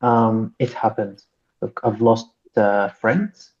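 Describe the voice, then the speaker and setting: A man's voice: on the low side, fairly even intonation, slow pace, a little mellow, dull audio. A man from Syria, co-hosting a podcast chat and speaking thoughtfully with many fillers and tag questions. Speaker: podcast co-host. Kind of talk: casual panel discussion.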